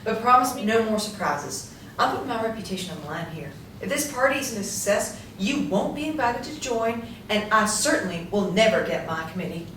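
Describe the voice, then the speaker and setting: Echoey, animated woman acting a fussy society clubwoman. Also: medium pitch, fairly quick, lightly acted. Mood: worried. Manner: gushing, fretful, given to cooing and correcting. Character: fussy, eager society clubwoman, retired school teacher. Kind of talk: staged script reading. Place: small room, seated reading from pages. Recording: echoey room, distant mic, faint noise.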